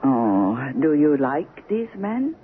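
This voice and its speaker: A man's voice: a little high, wildly swooping pitch, slow, exaggerated voice. An actor playing a proud, scornful African man of the Congo in a radio drama, with a solemn, lyrical way of talking. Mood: probing. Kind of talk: 1970s radio drama acting. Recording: muffled, noisy 1970s AM radio recording.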